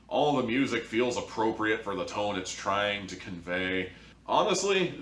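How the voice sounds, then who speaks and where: A man's voice: on the low side, fairly bright tone, a little hiss or hum. A man hosting an opinionated review, speaking in an exasperated, emphatic way. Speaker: host, audio drama reviewer. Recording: echoey room, distant from mic.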